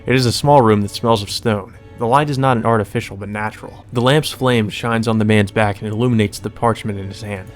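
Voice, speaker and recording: A man's voice: on the low side, fairly even intonation, brisk, few pauses, soft background music. A man doing a casual let's play, reading story text aloud and adding informal, sweary asides. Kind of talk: casual commentary and read-aloud narration.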